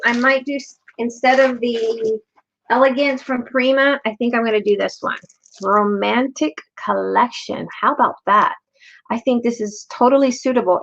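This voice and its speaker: A middle-aged woman hosting a live craft tutorial, chatting casually and slipping into Spanish phrases. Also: a little high. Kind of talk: casual live-stream chat while crafting. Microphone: desk mic over an overhead craft camera. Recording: slightly dull audio with faint hiss.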